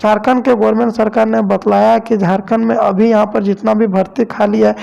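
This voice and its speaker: A man reads scripted news. His voice sits high and stays on a flat, monotone line, with heavy creak throughout. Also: fairly quick, lo-fi sound. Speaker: news narrator.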